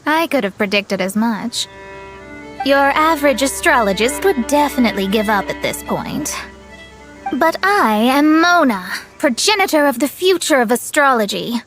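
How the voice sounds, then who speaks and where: A woman's voice: high voice, big pitch swings, unhurried, over music. A woman playing a proud, self-assured young astrologer in a video game, speaking in a pompous, theatrical, articulate way. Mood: boastful. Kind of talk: video game cutscene acting.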